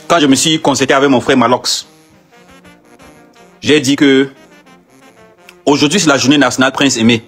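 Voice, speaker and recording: A man's voice: on the high side, a bit bright, husky. A man managing an artist, speaking live to fans in an emphatic, rallying way with lots of repetition.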